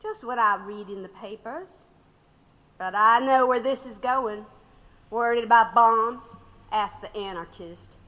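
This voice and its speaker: A woman's voice: on the high side, measured pace, bright, forceful tone, in a large hall, highs cut off. An actress playing a sardonic, unyielding older woman labor radical under questioning in an audio drama.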